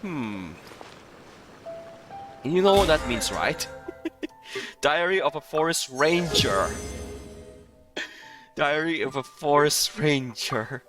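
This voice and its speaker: A man giving casual, rambling playthrough commentary on a game, often halting and chuckling between phrases. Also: on the high side, animated, over music. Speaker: gaming streamer.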